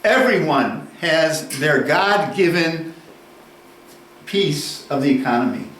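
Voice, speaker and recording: A man giving a university lecture, deliberate and unhurried, posing questions and then answering them. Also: on the high side, lively intonation, a bit bright. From United States. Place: lecture room. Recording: echoey room, distant mic, faint ambience.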